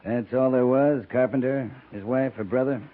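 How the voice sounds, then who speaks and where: An actor playing a dry, sardonic, weary but humane cavalry captain in a 1950s radio western, with a clipped, commanding delivery. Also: male voice, mid-range voice, steady tone, normal speed, close to an everyday voice. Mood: low, grim. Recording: noisy 1950s radio recording, narrow band.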